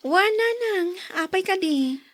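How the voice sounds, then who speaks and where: A woman's voice: extremely high pitch, lively intonation, unhurried, fairly bright tone, no echo, full character voice. A woman acting an affectionate, thrifty young wife who is easily surprised, in an Ilocano-accented radio drama. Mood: curious.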